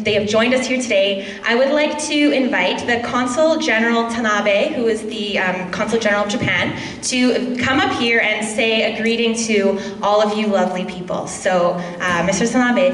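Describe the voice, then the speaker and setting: A woman's voice: on the high side, normal speed, a bit bright. A woman emceeing a festival opening ceremony, chatty and upbeat with some ums, in a large indoor hall. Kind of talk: casual stage hosting. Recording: echoey hall, mic heard from far.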